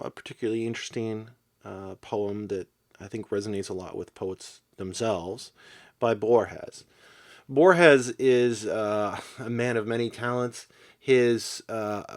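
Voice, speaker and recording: Low-voiced man talking thoughtfully about literature. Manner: thoughtful, halting, searching for words. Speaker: podcast presenter and poetry reader. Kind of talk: casual literary commentary, unscripted.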